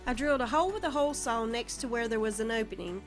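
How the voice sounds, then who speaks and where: A woman's voice: on the high side, fast, faint music behind. Southern woman narrating a DIY project. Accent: Southern American. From Southern United States. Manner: friendly, step-by-step, plainspoken. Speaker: DIY channel host. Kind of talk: instructional voice-over narration.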